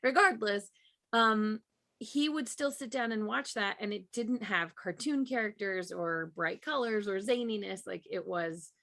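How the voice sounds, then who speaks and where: A woman's voice: mid-range voice, a little slow, vocal fry, bright, forceful tone. A woman co-hosting a podcast in casual, conversational chat. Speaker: podcast co-host.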